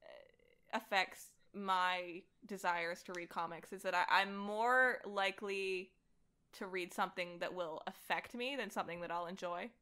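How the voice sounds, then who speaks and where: A young woman talking casually as a podcast guest, in an animated, rambling way peppered with "like". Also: on the low side, measured pace, clear, resonant tone. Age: young adult. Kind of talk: casual conversation about comics.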